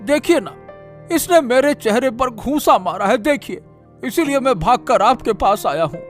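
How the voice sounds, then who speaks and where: A man's voice: extremely high pitch, animated, normal speed, soft background music. A man voicing a troubled village farmer in a cartoon story, deferential and plaintive. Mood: aggrieved. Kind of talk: Hindi cartoon moral story dialogue.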